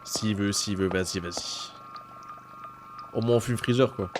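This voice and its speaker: A man chatting casually and excitably in slang as he reacts live to an anime. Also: on the low side, measured pace, music in the background. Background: anime soundtrack and dialogue.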